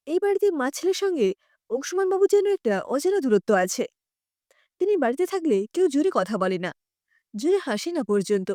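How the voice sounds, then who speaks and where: A woman's voice: sits high, dark tone, dry recording, clean studio sound. A woman narrating a scripted story expressively as a storyteller.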